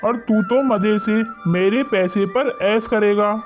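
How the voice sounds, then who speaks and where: A man playing a shrewd, suspicious village moneylender in an animated moral story, speaking with condescension. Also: sits high, slow pace. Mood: condescending, suspicious. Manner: condescending, wary. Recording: muffled lo-fi audio under loud music.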